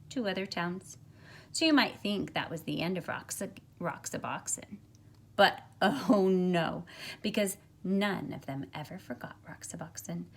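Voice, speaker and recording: Middle aged lady teacher doing a kids read-aloud, expressive, medium pitch, recorded on a phone at home. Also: gravelly, slight background noise. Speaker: teacher reading to students. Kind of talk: children's picture book read-aloud. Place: carpeted room at home, indoors. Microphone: phone at arm's length.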